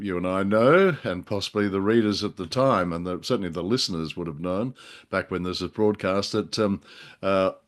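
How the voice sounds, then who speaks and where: A man co-hosting a casual discussion, speaking in a wordy, halting and wry way. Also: low voice, a bit bright. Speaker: podcast co-host.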